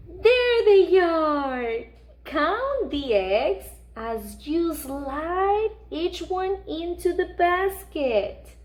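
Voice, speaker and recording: Woman playing Larry, an excitable, high-pitched picture-book monster. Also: extremely slow, heavily acted. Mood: delighted. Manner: gasping, exclaiming, exaggerated wonder, coaxing young children. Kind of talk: animated children's read-aloud.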